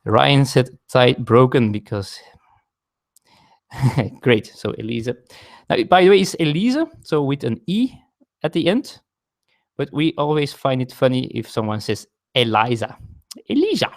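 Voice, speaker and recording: Man, non-native English accent, explaining board game rules, lively pitch, chatting with viewers, self-correcting. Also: unhurried, creaky, long pauses. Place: home, at a game table.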